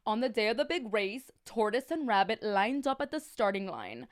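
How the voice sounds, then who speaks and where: A woman with a fairly high voice reads children's tales for a livestream. She is casual and chatty, breaking in with sarcastic asides and bits of Spanish slang, keeping the reading comic. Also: sing-song, moderate pace. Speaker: storyteller on a livestream.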